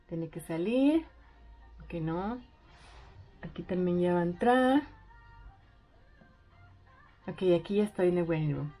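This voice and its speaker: A young woman chatting excitedly in a casual vlog, thinking aloud as she goes. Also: on the low side, slow pace, long pauses, soft background music. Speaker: vlogger.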